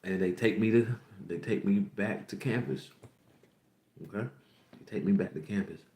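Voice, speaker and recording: A man's voice: on the low side, moderate pace, a little mellow, reverberant. A young man in his twenties hosting a podcast, telling a candid personal story to his viewers, rambling and repeating lines. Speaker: podcast host. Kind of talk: personal storytelling to a livestream audience.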